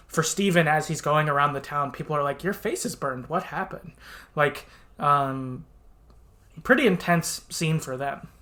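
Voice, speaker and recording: A podcast host in relaxed discussion mode, speaking at a middling pitch. The delivery is informal and conversational, feeling its way through a retold story with loose, hedged phrasing. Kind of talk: casual podcast discussion retelling a game story.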